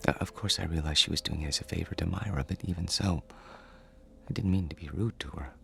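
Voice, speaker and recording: A man's voice: sits low, fast, gravelly, sharp tone, a little hiss or hum, full character voice. A man acting in a radio drama as a timid, lonely, awkward thirty-something who speaks hesitantly and stammers. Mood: quiet brooding.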